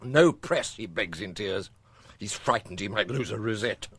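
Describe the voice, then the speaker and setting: A man playing a brisk, drily amused police chief in a radio drama, with deadpan, offhand authority. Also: fairly low pitch, breathy edge, dry recording.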